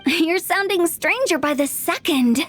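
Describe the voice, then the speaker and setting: A woman's voice: very high voice, lively intonation, takes it slowly, a little hiss or hum, heavily acted. A woman voicing a playful, inquisitive teenage girl in a video game, with a teasing, theatrical manner. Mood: probing, playful.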